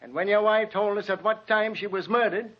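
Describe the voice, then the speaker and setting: Man acting a high-voiced, stern accusing detective. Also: lightly acted. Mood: sternly accusing. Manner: accusing, deliberate, dramatic. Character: stern, relentless detective confronting a murderer. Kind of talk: 1940s radio drama acting. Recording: muffled old radio recording.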